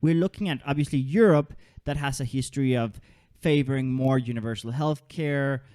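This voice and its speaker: A man born in Holland with Danish citizenship, hosting a podcast in casual, animated chat and often repeating words as he thinks. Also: mid-range voice, normal speed, no room sound, studio quality. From Denmark and Holland. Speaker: podcast host.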